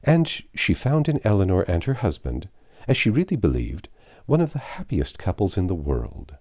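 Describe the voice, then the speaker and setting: Deep-voiced man reading a classic novel. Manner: measured, expressive reading with occasional slips. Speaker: audiobook narrator.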